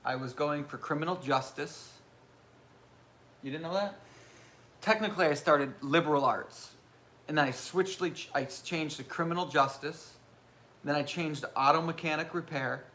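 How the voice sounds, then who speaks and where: A man in his thirties with a loud voice, hosting a casual live question-and-answer chat and rambling with self-mocking humor. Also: on the high side, moderate pace. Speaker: channel host.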